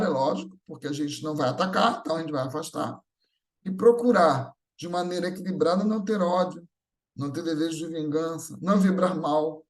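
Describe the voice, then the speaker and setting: A man's voice: a little high, a little mellow. A man with a Brazilian accent giving a conversational spiritual talk, teaching with repeated phrases, rhetorical questions and check-in tags. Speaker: speaker at a Spiritist gathering.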